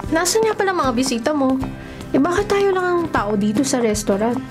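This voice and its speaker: A woman's voice: sits high, expressive, brisk. An actress playing a polite young woman in a Filipino radio horror drama, with music behind her. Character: polite young woman invited to a birthday date.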